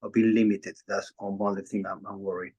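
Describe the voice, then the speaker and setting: Low, flat-voiced man from Venezuela. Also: brisk, slightly rough, lo-fi sound. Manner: hesitant, many fillers and restarts. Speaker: chiropractor. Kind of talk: casual video call chat. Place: Florida.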